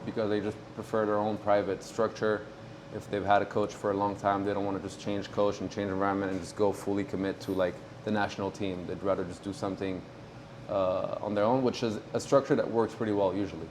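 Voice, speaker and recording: A man in his twenties from Morocco, a pro tennis player talking casually in an interview, relaxed and halting with many fillers. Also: lowish voice, noisy background. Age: 20s.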